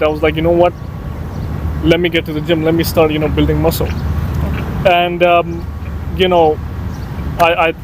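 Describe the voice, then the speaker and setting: Young man of twenty in his own voice, light and a bit high, easygoing, with unhurried talk and plenty of "you know" and "um", while traffic and street noise carry clearly underneath. A casual podcast guest telling his story. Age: 20s. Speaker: podcast guest, medical student.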